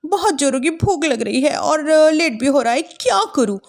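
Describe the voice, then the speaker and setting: Woman doing a young working bahu in a family story, really high voice, whiny and defiant, swoops around. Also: lively intonation, moderate pace. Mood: hurried, worried. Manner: plaintive, argumentative. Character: young working daughter-in-law, indignant and defiant.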